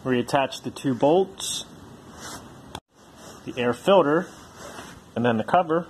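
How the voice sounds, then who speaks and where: A man's voice: mid-range voice, lively intonation, slow, a little hiss or hum, poor audio. A man hosting a do-it-yourself channel, walking through a repair step by step in a plainspoken, practical way. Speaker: DIY repair channel host.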